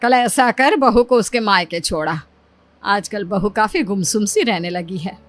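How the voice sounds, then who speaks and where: A woman's voice: mid-range voice, unhurried, lightly acted. A woman voicing a concerned older mother, tenderly scolding and pleading, in a cartoon moral story. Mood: concerned, reproachful. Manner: reproachful, pleading.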